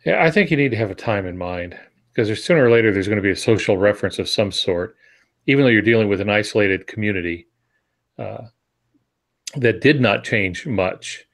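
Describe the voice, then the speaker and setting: A man's voice: fairly low pitch, a little fast. A man in his sixties giving casual, reflective advice as a podcast co-host on a video call from a home office. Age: 60s. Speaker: podcast co-host, experienced writer. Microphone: video call on earphones.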